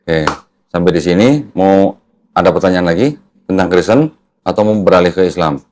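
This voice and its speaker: A man's voice: deep voice, moderate pace, a little mellow, a little hiss or hum. A man hosting a religious discussion, explaining step by step in a didactic, conversational way and checking that his listener follows. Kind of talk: conversational teaching with leading questions.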